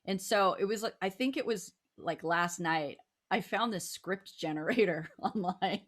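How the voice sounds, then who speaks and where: A woman's voice: on the low side, unhurried. A woman hosting a talk show in playful, casual banter.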